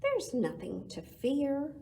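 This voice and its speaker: A woman voicing friendly, eager storybook animals who coax a shy friend, loud, cheery and encouraging. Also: high voice, wildly swooping pitch, slow pace, gravelly, a little hiss or hum, exaggerated voice. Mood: cheery, inviting.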